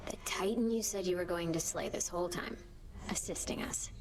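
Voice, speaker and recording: Game casting: woman as cheeky ghost, slightly low, blunt, slangy, skeptical. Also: brisk, in a whisper, lightly acted. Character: casual, cheeky, skeptical female shade. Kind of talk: scripted game voice acting.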